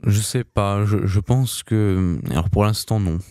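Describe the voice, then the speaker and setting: A young male singer speaks in a very low, deep register, answering radio interview questions casually. He hesitates a lot, restarting phrases and filling gaps with small sounds. The recording is dry and close, with no room sound. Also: measured pace, dark tone, smooth, no echo. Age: young adult. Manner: hesitant, many restarts and fillers.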